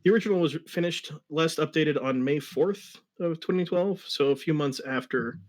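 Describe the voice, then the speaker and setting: A man with a slightly high voice, speaking casually as the reader on a livestream. He introduces and presents material in a conversational way. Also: moderate pace. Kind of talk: casual chat and dramatic reading.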